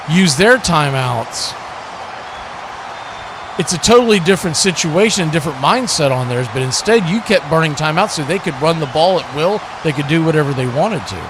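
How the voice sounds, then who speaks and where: A man's voice: on the high side, noisy background. A man doing live play-by-play and casual analysis of a football game in a conversational way, sometimes correcting himself. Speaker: play-by-play commentator hosting a watch party stream.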